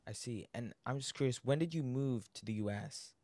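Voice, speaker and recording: A man's voice: medium pitch, brisk, warm tone, no echo, clean studio sound. A young man hosting a podcast interview, asking earnest questions and reacting eagerly to the answers. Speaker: podcast host.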